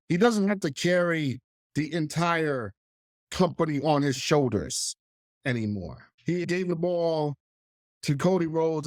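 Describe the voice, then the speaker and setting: A man's voice: fairly high pitch, no echo, clean studio sound. A man with a cold and a nasal, stuffy voice, hosting a solo wrestling podcast with emphatic opinions. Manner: opinionated, emphatic, clears throat. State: congested, under the weather.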